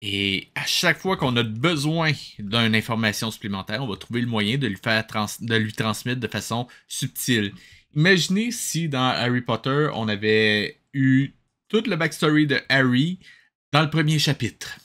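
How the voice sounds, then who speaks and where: Bright-voiced, sarcastic Québécois man. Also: medium pitch, expressive, normal speed. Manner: sarcastic, slangy with English phrases. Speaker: host of a mocking watch-along review. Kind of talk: casual comic commentary.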